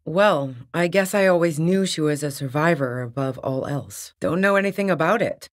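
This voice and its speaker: A woman's voice: deep voice, normal speed, close to an everyday voice. A woman voicing a tough, wary fugitive in a video game, blunt, wry and defiant, with profane, slangy talk. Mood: guarded, wry. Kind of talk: video game character dialogue.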